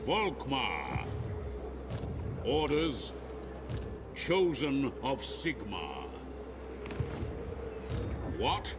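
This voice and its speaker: A man's voice: medium pitch, huge pitch swings, background noise, heavily acted. An actor playing a zealous male warrior priest of Sigma, calling out devout battle cries in a video game. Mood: fervent. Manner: fervent, drawn-out battle cries.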